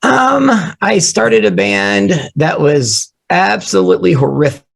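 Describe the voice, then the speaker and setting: A man who is losing his voice, hoarse, telling animated, joking stories about his drumming start as an interview guest on a remote call. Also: fairly high pitch, a little slow. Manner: animated storyteller, jokey. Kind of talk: casual storytelling interview.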